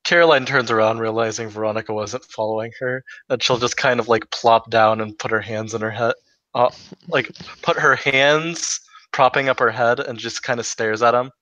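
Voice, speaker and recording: A man in a tabletop roleplaying game, describing what his character does in a relaxed way. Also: medium pitch, normal speed, no room sound, muffled recording. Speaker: player.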